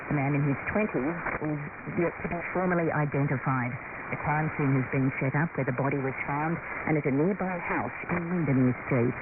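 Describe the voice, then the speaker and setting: A man's voice: fairly high pitch, narrow, tinny audio. A man with an Australian accent reading a scripted news bulletin over noisy shortwave radio. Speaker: newsreader.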